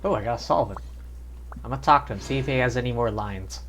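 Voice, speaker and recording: A man's voice: medium pitch, fast, background noise. A man doing casual live gameplay commentary as a streamer, talking to himself as he plays. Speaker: game streamer.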